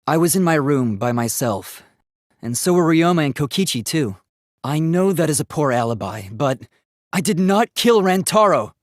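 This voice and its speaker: An earnest young robot student from a video game, acted by a man, with a fairly high male voice. He speaks precisely and politely, reasoning in formal, logical phrases. The recording is dry and close. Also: expressive, normal speed, dry recording, natural voice. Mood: alarmed.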